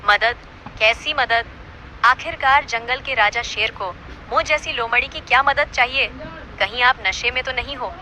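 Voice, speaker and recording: A woman's voice: fairly high pitch, unhurried, pushed and bright, slight background noise, rough recording. A woman voicing a timid but wily mother fox, deferential and pleading, in a cartoon fable. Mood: puzzled.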